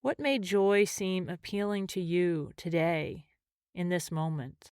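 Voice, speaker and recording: Smooth-voiced woman guiding a meditation. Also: on the low side, no echo, studio quality. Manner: gentle, measured, with long pauses between phrases. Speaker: mindfulness and meditation teacher. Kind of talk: guided meditation narration.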